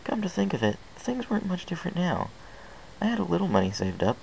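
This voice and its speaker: A man's voice: sits high, huge pitch swings, quick pace, creaky, a little mellow, slight background noise, rough recording. A man reading a fan fiction story aloud in the first person, in an earnest, plain way with small restarts.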